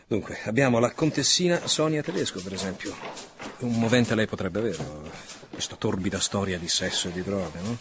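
An actor playing a driven, cynical police commissioner in an Italian wartime radio drama, brisk and sardonic, thinking aloud. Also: male voice, lowish voice, expressive, background noise, natural voice. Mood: brisk, pondering.

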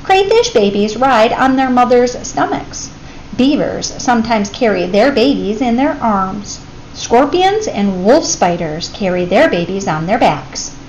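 A woman reading a children's picture book aloud in an expressive teacher's manner, addressing young listeners. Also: a little high, rough recording. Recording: clear background noise.

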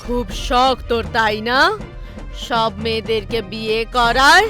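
A woman's voice: a little high, fairly even intonation, measured pace, pushed and bright. A woman voicing a vengeful female witch spirit who taunts and accuses with scorn, over background music. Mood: scornful.

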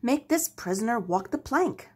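A woman voicing a gruff, bossy male pirate rabbit captain from a storybook, with blustering commands. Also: a little high, sing-song, normal speed, pitched above the performer's own voice. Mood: commanding. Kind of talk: storybook character voice.

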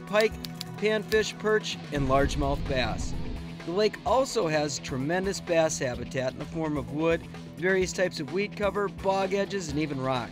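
An American man hosting and narrating a fishing show. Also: high-pitched. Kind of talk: scripted narration and on-the-water chat.

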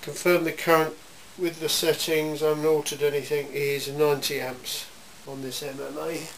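A man giving an informal, matter-of-fact hands-on demonstration in a workshop, speaking in a measured way. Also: on the high side, flat delivery, a bit bright. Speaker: welding demonstrator. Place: indoor cluttered workshop. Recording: hissy, noisy amateur camera sound.

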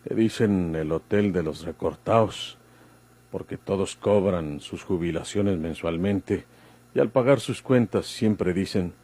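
A man's voice: deep voice, a little slow, mellow, slightly rough, full character voice. An actor playing a crafty, fatherly outlaw disguised as a worn old man, with a rustic northern Mexican accent, in an old radio drama. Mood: amused, fatherly. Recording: noisy old radio recording with hum.

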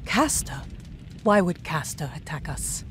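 Determined warrior leader, kinda low voice, serious game drama, faint noise behind. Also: female voice, very animated, unhurried. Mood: urgent, concerned. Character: resolute Egyptian woman warrior leader, earnest and loyal. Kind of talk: dramatic video game voice acting.

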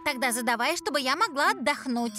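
Cartoon dub role: proud, curt teen heroine, high voice, swooping intonation. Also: female voice, expressive, brisk. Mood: irritated. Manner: curt, haughty. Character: proud, curt, driven teenage girl firefighter. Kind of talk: animated series dub acting. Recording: music behind the voice.

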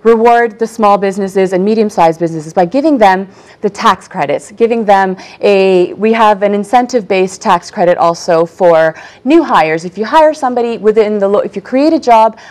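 A young woman makes her case in a televised debate. She speaks quickly and with emphasis, repeating key words to drive points home. The sound is clean, from a studio lapel mic. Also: medium pitch, a little mellow. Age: young adult. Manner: fast, emphatic, persuasive. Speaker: political candidate. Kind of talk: debate argument.

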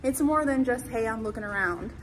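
A woman dog trainer explaining training methods in a clear, conversational way while walking a dog outdoors by a creek in a town center. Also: fairly high pitch, animated, a little fast. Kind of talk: instructional walk-and-talk. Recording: outdoor street noise.

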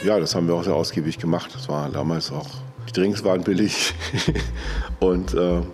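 Deep-voiced man reminiscing. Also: normal speed. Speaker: interviewee, eyewitness. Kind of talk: conversational recollection. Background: music.

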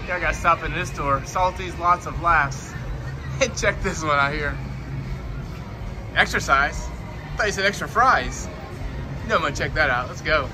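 A man's voice: a little high, moderate pace, long pauses, a crowd in the background. A man in his forties chatting to viewers while filming a walking tour outdoors, camera at arm's length, with jokes and asides. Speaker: travel vlogger. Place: outdoors at a tourist shopping street. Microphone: handheld camera at arm's length. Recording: outdoor walk with loud music behind.

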